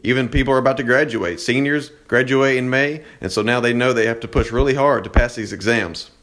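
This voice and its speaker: A man's voice: mid-range voice, fairly quick, fairly bright tone. A young man delivering news reports as a student reporter, in a scripted presenting style. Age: young adult.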